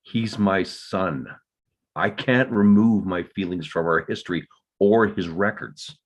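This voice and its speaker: A man's voice: deep voice, measured pace. A man playing a defensive, guilt-ridden father in a dramatic script read, terse and insistent. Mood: indignant, insistent.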